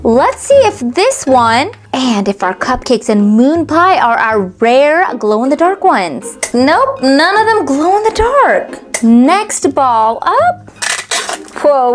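A woman's voice: high voice, slight background noise. A woman narrating a toy unboxing in a cheerful, sing-song voice-over, naming each item as she stocks a miniature store.

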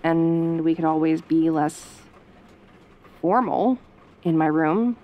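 A woman playing a sassy, easily flustered young tomboy girlfriend, sarcastic and haltingly defensive, in an audio roleplay. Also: deep voice, lo-fi sound. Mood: sheepish, wry.

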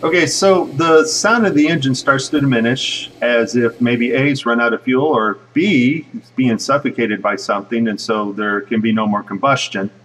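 A man giving casual, speculative commentary over footage in a conversational way, with a slightly husky voice. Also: medium pitch, fairly bright tone, recorded from a distance, a little hiss or hum, rough recording. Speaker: video narrator.

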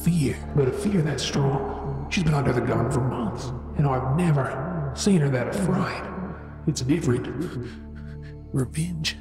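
A man's voice: medium pitch, unhurried, pauses often, over music, lightly acted. A man with an Australian accent playing a troubled, earnest werewolf boyfriend in an ASMR audio drama, hesitant yet intense. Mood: intense, haunted.

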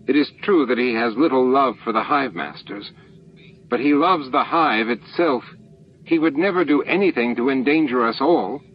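A man's voice: medium pitch, moderate pace, pitched above the performer's own voice, close to an everyday voice. A man voicing an earnest, resolute alien hive master in an audiobook, speaking formally and emphatically. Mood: troubled, defensive. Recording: dull, noisy lo-fi book-on-tape recording.

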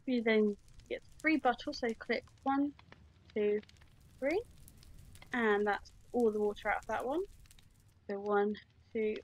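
Female, slightly high pitch, casual step-by-step tutorial read. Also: fairly even intonation, slow, pauses often, rough recording. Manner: chatty, explains step by step with fillers. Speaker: game tutorial presenter. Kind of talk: casual screen-recorded tutorial.

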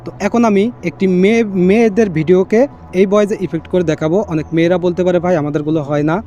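A high-voiced man talks quickly through a phone app tutorial. His tone is on the mellow side, and he explains each step casually, repeating it and mixing in English catchwords. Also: fairly even intonation. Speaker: tech tutorial host. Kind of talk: casual screen-recorded how-to narration.

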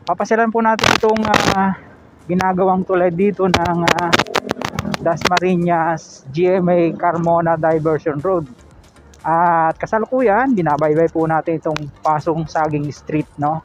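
Chatty, high-voiced Filipino man vlogging. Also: fairly even intonation, unhurried, background noise. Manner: chatty, polite, with many fillers and tag questions. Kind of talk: casual road vlog narration.

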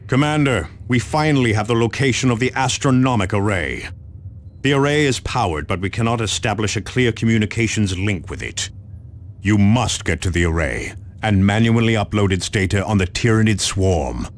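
Man playing a stern low-voiced space marine officer. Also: expressive, slight background noise, lightly acted. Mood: stern, urgent. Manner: solemn, measured military briefing. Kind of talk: video game dramatic briefing.

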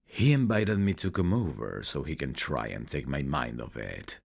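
Man acting a very deep, gravelly flirty guy. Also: sing-song, exaggerated voice. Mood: easygoing, wry.

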